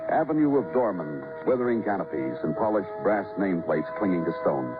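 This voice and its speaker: A man's voice: sits low, big pitch swings, fairly quick. A man playing a terse, world-weary police detective in old-time radio noir style, brooding and lyrical. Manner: clipped questions, brooding lyrical delivery. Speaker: lead actor and narrator of a radio detective drama. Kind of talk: old-time radio noir acting, poetic second-person narration. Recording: muffled old radio broadcast with music.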